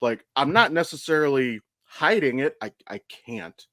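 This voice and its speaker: An American man in his thirties talks as a podcast host in a medium-pitched voice. His delivery is casual, candid and meandering, peppered with swearing. The sound is dry, with very little room. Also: expressive, normal speed, a bit bright, no room sound. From Ohio, United States. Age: 30s. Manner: candid, profane, rambling storytelling. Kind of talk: casual conversational podcast chat.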